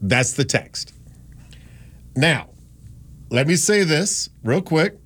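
A man's voice: a little high, big pitch swings, slow, a bit bright. A man hosting a talk radio show in a studio, chatting in a rambling, self-deprecating way about listener texts. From Gastonia, North Carolina. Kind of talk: casual talk radio chat. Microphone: studio microphone.

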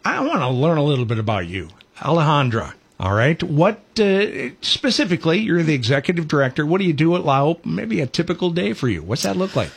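A man's voice: a little high, very animated, a little fast, gravelly, few pauses, slightly rough. A man hosting an interview, asking questions in a casual broadcast style. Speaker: interviewer, host.

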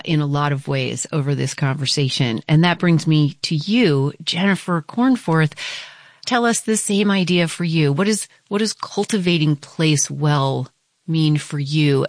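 A woman with a very low voice moderates a panel, introducing each guest and circling through her questions, restarting phrases as she goes. The sound is dry and close, with little room echo.

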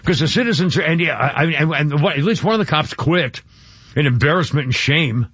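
A man's voice: fairly high pitch, brisk, a bit bright, breathy edge. A man hosting a talk radio show, rambling with many restarts and fillers in casual opinionated chat. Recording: dull lo-fi radio broadcast, dry.

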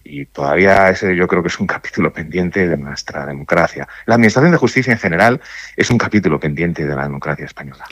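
Deep-voiced man, halting and thoughtful. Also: fairly bright tone, dry recording. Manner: thoughtful, halting, many restarts and fillers. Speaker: judge interviewed on radio. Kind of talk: radio interview answers.

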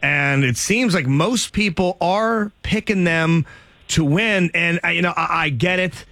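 A man's voice: a little high, sharp tone, dry recording. A man hosting a sports radio show, joking around and interviewing a caller. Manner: jokey, teasing, reads promos quickly. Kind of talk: casual sports radio chat. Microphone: studio microphone.

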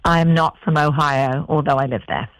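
A middle-aged English woman chatting as a guest in a radio interview, quick to laugh. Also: deep voice, fairly even intonation, no room sound, rough recording. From England. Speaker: guest singer.

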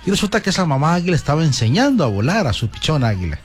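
A man's voice: fairly high pitch, big pitch swings, rapid-fire, soft background music. A man hosting a talk show, telling a fable to his audience and greeting them effusively. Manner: effusive, repeats "gente linda" often. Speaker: host.

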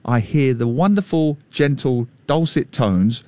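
Energetic male talk-radio host with a bouncy delivery and close, dry sound. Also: medium pitch, a little slow, no echo. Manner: energetic, teasing, enthusiastic. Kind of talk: lively radio talk show. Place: radio studio.

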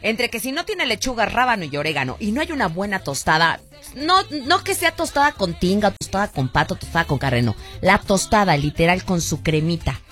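A woman's voice: mid-range voice, very animated, a little fast, a bit bright, faint music behind. A Mexican woman hosting a radio call-in show, playful and exclamatory, laughing often as she plays listeners' voice notes. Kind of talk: lively radio call-in chat.

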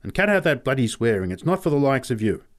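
A man performs a gruff dairy farmer from a storybook. He is irritable and blustering, snapping out his words with urgency. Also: medium pitch, very animated, quick pace, pitched above the performer's own voice, natural voice. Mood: gruff, scolding. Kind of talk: acted storybook dialogue.

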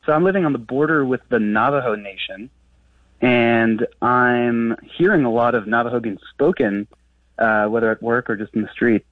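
A man's voice: medium pitch, moderate pace, no room sound, far from the mic. A man from France, a native French speaker, calling a radio show and chatting casually and a little hesitantly over a muffled phone line.

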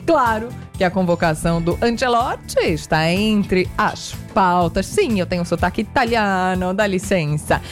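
A woman's voice: medium pitch, expressive, moderate pace, few pauses, faint music behind. A woman co-anchoring a live news show, chatty and playful as she signs off.

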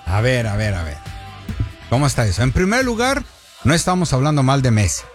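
Animated, teasing man, music bed. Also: mid-range voice, fairly quick. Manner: playful, teasing, jokes and laughs. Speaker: sports show co-host. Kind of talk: casual sports chat reading viewer comments.

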